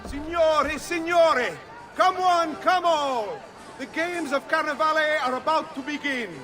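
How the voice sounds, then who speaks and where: An actor playing a flamboyant, grandiose carnival announcer with an Italian accent, proclaiming in a drawn-out, theatrical way for a game cutscene. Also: male voice, extremely high pitch. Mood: rousing showmanship. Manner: proclaiming, drawn-out showman delivery. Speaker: games announcer. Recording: loud music and crowd behind. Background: music and crowd.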